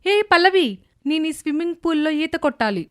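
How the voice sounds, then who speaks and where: A woman's voice: high voice, lively intonation, brisk. A woman voicing a haughty, spiteful rich village woman with an imperious, scolding manner in a children's cartoon tale. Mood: haughty, demanding. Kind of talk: children's animated moral tale dubbing.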